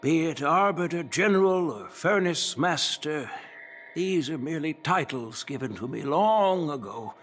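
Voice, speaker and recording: An easygoing elderly general with a genial, relaxed way of talking. His voice sits a little high and moves around expressively. The lines come from a game cutscene. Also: unhurried, slight background noise. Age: older. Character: elderly retired male general, easygoing and jovial. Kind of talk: video game voice acting.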